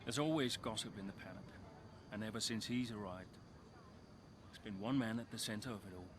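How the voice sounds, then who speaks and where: An actor playing an older, weary but earnest racing driver in a video game cutscene, speaking in a low-key, sincere way. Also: male voice, a little fast, noisy background, close to an everyday voice. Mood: bitter regret.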